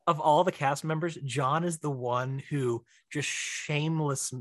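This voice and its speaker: A man's voice: fairly high pitch, a little slow, fairly bright tone, no echo. A man chatting casually as a podcast guest, joking and teasing in a dry way. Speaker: podcast guest, audio drama writer and director. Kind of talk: casual interview chat.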